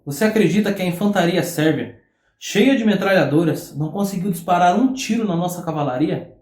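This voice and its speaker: A man's voice: sits high, expressive, a little fast, darkish tone. An actor with a Brazilian accent playing a pompous, boastful older Bulgarian major and father who talks loftily and dismissively. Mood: haughty. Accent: Brazilian Portuguese. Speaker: acting student. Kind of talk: mock-documentary stage acting. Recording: echoey room.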